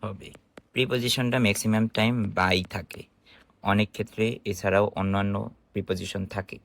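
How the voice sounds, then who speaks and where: A man's voice: lowish voice, steady tone, moderate pace, vocal fry, a bit bright. A man with a Bangladeshi accent giving an informal online grammar lesson in an explanatory, question-and-answer manner. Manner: explanatory, instructive, asking and answering own questions. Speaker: English grammar teacher.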